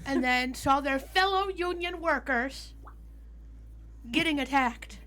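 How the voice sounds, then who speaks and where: A woman's voice: high voice, slow, pushed and bright, a little hiss or hum. A woman talking casually as a player during a tabletop roleplaying game. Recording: music playing behind the voice.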